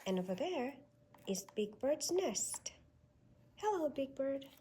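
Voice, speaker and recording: Woman, children's storybook reader, slightly high voice, very animated sing-song delivery, read-aloud style. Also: slow, slight background noise.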